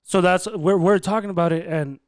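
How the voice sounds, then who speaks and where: Higher-voiced young man, slangy. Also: a little fast, smooth, no room sound, clean studio sound. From Inland Empire, California, United States. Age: 20s. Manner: slangy, hyped, frequent swearing. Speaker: podcast host. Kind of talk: casual chat interview.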